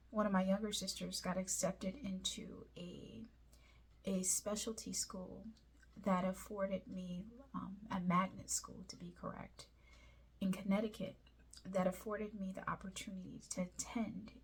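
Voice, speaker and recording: Woman from liberia who grew up in the states, husky breathy voice, talking to viewers about her life, earnest and deliberate. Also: medium pitch. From Liberia, raised in the United States. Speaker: host of a personal video series. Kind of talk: personal storytelling monologue to viewers.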